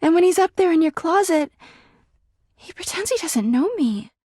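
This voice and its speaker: A woman's voice: very high voice, animated, moderate pace, dark tone, no echo, clean studio sound. A woman voicing a clingy, jealous talking laundry hamper in a video game, gushing sweetly and flipping into bitter drama. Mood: hurt, bitter. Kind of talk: video game character voice acting.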